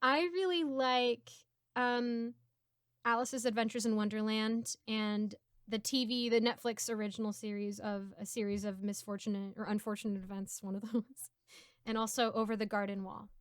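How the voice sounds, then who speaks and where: A woman's voice: medium pitch, steady tone, normal speed, clean tone, dry recording, studio quality. A giggly, chatty woman with an American accent, casually answering fan questions as host. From United States. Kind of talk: casual Q&A chat.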